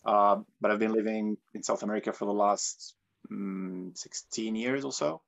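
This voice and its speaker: Male interview guest from Tunisia. Deep-ish, heavy fry, halting and reflective delivery, lo-fi call sound. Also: fairly even intonation. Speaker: guest, professional free diver. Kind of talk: conversational interview answers. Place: remote online call. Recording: dull lo-fi online call.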